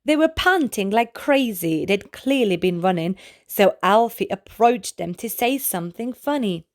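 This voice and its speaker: A woman reading a children's bedtime story aloud in a gentle, expressive way. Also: medium pitch, a little slow.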